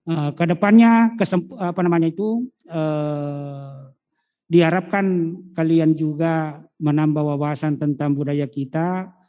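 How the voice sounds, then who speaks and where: A middle-aged man gives a talk as a guest history teacher. He speaks to the students in a fatherly, unhurried way and often stops on hesitant fillers while he searches for the next word. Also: fairly high pitch, fairly even intonation, mellow, smooth. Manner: fatherly, calls listeners child, hesitant fillers. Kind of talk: lecture answering students.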